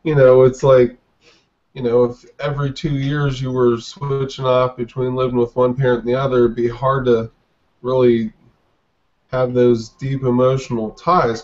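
A man's voice: medium pitch, darkish tone. A man in his forties, a guest on a video call interview, talking in a hesitant way with restarts. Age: 40s. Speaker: guest artist. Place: dim room indoors. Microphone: webcam on video call. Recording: dull lo-fi webcam audio.

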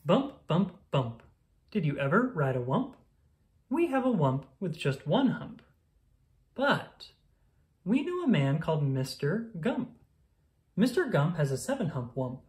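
A woman with a low-pitched voice reads a rhyming picture book aloud. Her delivery is singsong and expressive, moving animatedly up and down as a storyteller for children. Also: measured pace, pauses often.

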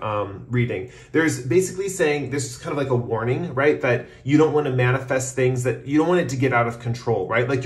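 A man giving a casual, improvised tarot reading, talking in a rambling way full of "like" and "kind of". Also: mid-range voice. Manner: rambling, hedging with frequent "like" and "kind of". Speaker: tarot reader, host. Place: indoors at a tabletop, off camera. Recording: roomy, echoing room sound.

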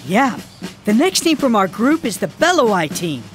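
A woman's voice: a little high, big pitch swings, moderate pace. A woman voicing an earnest boy footballer in a cartoon, explaining things seriously to his teammates. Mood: serious, informative. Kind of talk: animated cartoon dialogue. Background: background music.

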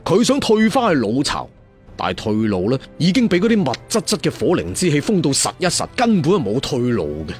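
A man's voice: on the high side, huge pitch swings, a little fast, a little mellow, faint music behind. A man with a Cantonese accent telling a serial fantasy story theatrically, with conversational asides and emphatic endings. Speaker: storyteller narrator.

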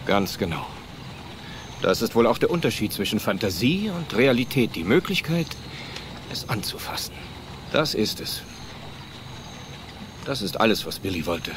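Weary scientist, film dub, kindly, pensive, halting, varied intonation, noisy outdoor soundtrack. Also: male voice, fairly low pitch, big pitch swings. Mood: regretful, pensive. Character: weary, regretful male paleontologist, reflective and kindly. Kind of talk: dubbed film acting.

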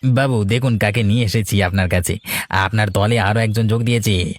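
A man's voice: on the low side, fairly even intonation, a little fast, no room sound, close to an everyday voice. A man voicing a sly, coaxing village go-between in a cartoon tale. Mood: deferential. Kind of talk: Bengali fairy-tale cartoon voice acting.

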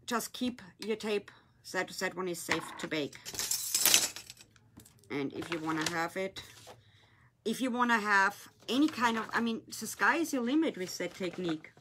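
A woman's voice: mid-range voice, lively intonation, normal speed, long pauses, very noisy. A woman with a German accent, English her second language, chatting casually to viewers as she demonstrates a craft on a live stream. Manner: chatty, loose grammar, talking to viewers while working with her hands. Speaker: craft shop owner hosting a live tutorial. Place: indoor craft room, at a glittery table. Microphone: phone or camera on a desk, filming her hands.

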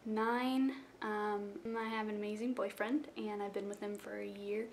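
A woman's voice: mid-range voice, level tone, moderate pace, a little hiss or hum. A young woman from South Florida talking casually to a webcam in her bedroom, rambling and self-deprecating. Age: young adult. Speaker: vlogger. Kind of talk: casual rambling intro vlog. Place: small bedroom indoors. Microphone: webcam at close range.

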